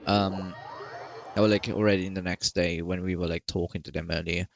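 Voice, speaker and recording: A man's voice: sits low, flat delivery, fairly quick, gravelly, highs cut off. A man giving casual let's-play commentary, rambling chattily and reacting aloud. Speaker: gaming streamer. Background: faint game music.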